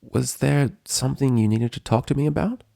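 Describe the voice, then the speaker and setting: A man's voice: mid-range voice, steady tone, normal speed, vocal fry, warm tone, no room sound, studio quality, pitched above the performer's own voice, natural voice. A man voicing an earnest young female pony in a story reading, polite, hesitant and worried.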